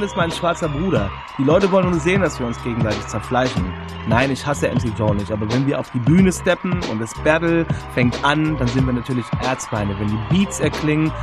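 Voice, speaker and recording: A man's voice: mid-range voice, lightly acted. A man playing a cocky, street-smart young battle rapper in a radio play, slangy and emphatic with casual swagger. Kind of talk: radio play interview banter.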